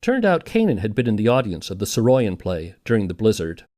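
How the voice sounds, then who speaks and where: A man's voice: fairly low pitch, wildly swooping pitch, brisk, darkish tone, no room sound. A man hosting and narrating a documentary podcast from a script.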